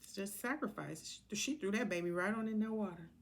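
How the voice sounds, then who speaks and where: A woman's voice: mid-range voice, brisk. Sassy American woman over background noise. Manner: sassy, blunt, colloquial. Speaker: tarot card reader. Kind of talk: casual, rambling tarot reading monologue.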